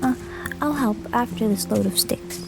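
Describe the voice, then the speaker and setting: A woman playing a practical, gently questioning schoolgirl daughter in an audio drama. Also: a little high, moderate pace, creaky, over music.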